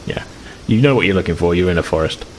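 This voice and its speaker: Very deep voiced guy gm-ing a tabletop game, laid back, lots of ums, faint hiss. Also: fast, low-quality recording. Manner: relaxed, hesitant, filler-heavy narration. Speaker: game master.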